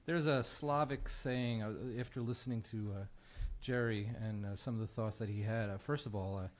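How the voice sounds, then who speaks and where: An American man speaking as an interview guest, earnest and conversational with a halting delivery. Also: lowish voice, fairly even intonation. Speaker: guest musician and broadcaster.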